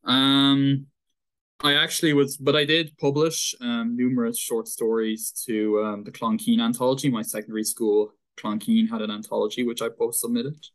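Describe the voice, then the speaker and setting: A man's voice: mid-range voice, flat delivery, moderate pace. A man in his twenties with a Dublin Irish accent, a guest author telling his story in an earnest, thoughtful way. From Ireland. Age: 20s. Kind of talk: casual interview chat.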